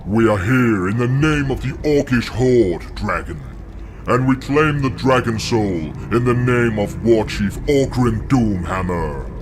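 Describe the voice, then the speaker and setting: A man's voice: mid-range voice, animated, measured pace, noisy background, natural voice. A man voicing a stern, weary orc, proud and formal, in a fantasy game cutscene. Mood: defiant. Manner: formal, solemn. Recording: music behind the voice.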